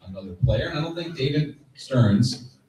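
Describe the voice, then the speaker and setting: A man speaking as a sports panelist in a lively live discussion, emphatic and repeating phrases for emphasis. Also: mid-range voice, normal speed, crowd noise. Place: live convention panel stage. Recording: very echoey room, distant from mic.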